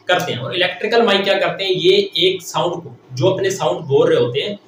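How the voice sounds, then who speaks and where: A man teaches like a classroom lecturer, explaining step by step, repeating phrases and answering his own questions. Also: high-pitched. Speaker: technical teacher. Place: echoey indoor room. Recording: very echoey room, distant mic, faint noise.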